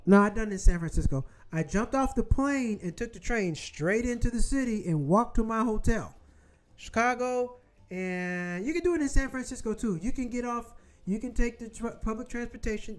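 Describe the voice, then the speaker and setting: Rambling, salty host from Florida. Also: medium pitch. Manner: rambling, salty, talks in quick asides. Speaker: show host. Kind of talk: casual chat with viewers.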